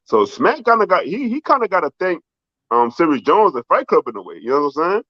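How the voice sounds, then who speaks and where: A man from the Bronx with a New York accent, whose first language is Spanish, talking in a relaxed, rambling way full of fillers as a guest. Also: high-pitched, brisk, no room sound, muffled recording. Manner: relaxed, rambling, heavy fillers like "you know" and "um". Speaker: documentary filmmaker guest. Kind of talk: casual interview.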